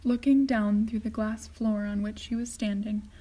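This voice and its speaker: A woman reading a children's story aloud as a teacher would, with expressive, deliberate narration. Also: mid-range voice.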